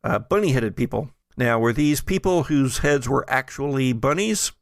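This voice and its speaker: Man, game master, gravelly, mid pitch, halting narration, dry close sound. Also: expressive, no room sound. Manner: halting, filler-heavy narration.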